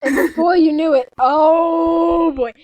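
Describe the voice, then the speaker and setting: High-pitched giggly woman reading aloud. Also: steady tone, slow pace, a little mellow, no echo, poor audio. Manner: giggly, mock-dramatic, breaks off to react in disbelief. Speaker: co-reader in a fanfiction reading video. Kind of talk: mock-dramatic read-aloud with casual reactions among friends.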